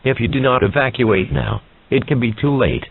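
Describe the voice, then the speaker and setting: A man's voice: mid-range voice, normal speed, vocal fry. Man reading alerts over tinny radio band. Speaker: emergency alert announcer. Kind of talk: scripted emergency broadcast announcement. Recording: muffled narrowband radio-like sound.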